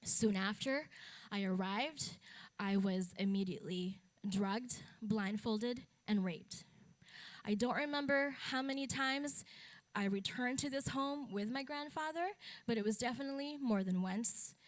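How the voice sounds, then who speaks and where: A woman's voice: fairly high pitch, a little slow. A young adult woman from the Philippines giving a conference talk as a speaker and advocate, candid and matter-of-fact with wry humor. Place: stage at a talk event.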